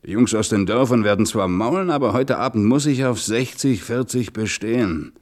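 An actor playing a bossy, pragmatic restaurant boss giving curt instructions in colloquial German radio drama. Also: male voice, mid-range voice, normal speed, natural voice. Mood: gruff, insinuating. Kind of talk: German radio play acting, colloquial monologue.

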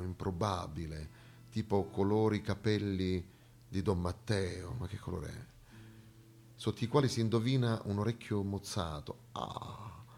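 A man performing a theatrical comic monologue as storyteller, with dramatic pauses, moving between hushed and emphatic delivery. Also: sits low, gravelly.

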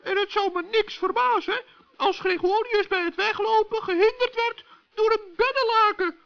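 Super high little gnome voice, friendly n sly, kids radio play, muffled old tape. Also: male voice, fairly even intonation, moderate pace, vocal fry, a bit bright, full character voice. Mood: knowing, teasing. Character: kindly, shrewd little male forest gnome. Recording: dull, lo-fi old recording.

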